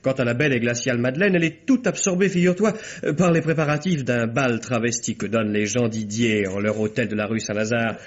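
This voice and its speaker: An actor playing a suave, insincere young society gentleman with a mannered delivery in a vintage French radio drama. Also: medium pitch, fast, hardly any pauses, lightly acted. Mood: airy, worldly. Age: young adult. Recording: dull old radio recording.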